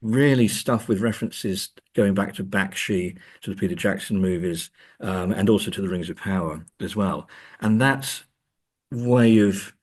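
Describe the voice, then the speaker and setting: Man, low husky voice, academic interviewee, halting, thoughtful repetitions, conversational. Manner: hesitant, halting, repeats words while thinking. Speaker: academic guest.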